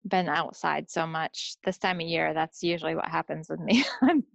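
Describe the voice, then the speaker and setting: A woman's voice: low voice, normal speed, creaky, no room sound. A woman co-hosting a podcast in a casual, folksy and chatty way. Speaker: podcast co-host. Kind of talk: casual chat.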